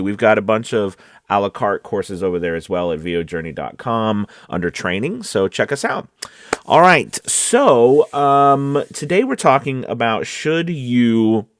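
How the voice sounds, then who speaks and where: Low-voiced man, dry studio sound. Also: clear, resonant tone, no echo. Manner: conversational, checks in with listeners often. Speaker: voice-over coach and host. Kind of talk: casual talking-to-camera tutorial.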